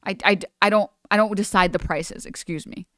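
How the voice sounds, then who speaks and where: A woman's voice: mid-range voice, fairly even intonation, moderate pace, fairly bright tone, no echo, studio quality, lightly acted. A woman doing a meek, stammering cashier. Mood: flustered. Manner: hesitant, stammering. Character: meek young store cashier, flustered.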